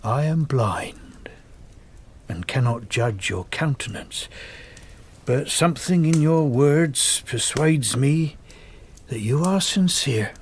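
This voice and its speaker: Slow, lilting, kindly old blind man. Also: medium pitch, animated, husky. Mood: gentle, sincere. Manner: gentle, reassuring. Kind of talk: gothic audio drama acting.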